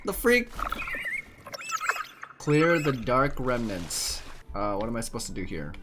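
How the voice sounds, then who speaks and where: Casual male gamer, mid-pitched, playful. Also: unhurried, noisy background. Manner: expressive, playful reactions. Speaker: gaming streamer. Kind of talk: casual playthrough commentary. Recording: game music behind commentary.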